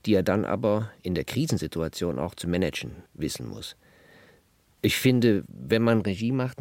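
A man's voice: fairly low pitch, no echo. A man, a radio drama director, giving his views assertively and in learned wording in an interview. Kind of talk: opinionated interview talk.

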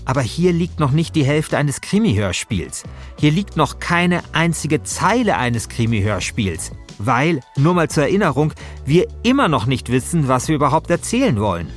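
A man's voice: a little high, sing-song, normal speed. A man narrating a scripted first-person story as podcast host, in speech with a wry, dramatizing manner. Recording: music behind the voice. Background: music.

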